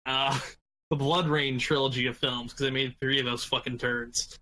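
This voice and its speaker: A man's voice: medium pitch, little pitch movement, normal speed, pushed and bright, low-quality recording. A man in a casual podcast discussion who talks bluntly and with crude language. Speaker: podcast panelist.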